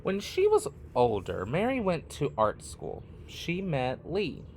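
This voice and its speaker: A man reads a children's picture book aloud with an expressive, animated delivery. He takes his time, leaving deliberate pauses between short phrases. His mid-pitched voice moves up and down freely, over faint background noise. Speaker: read-aloud storyteller.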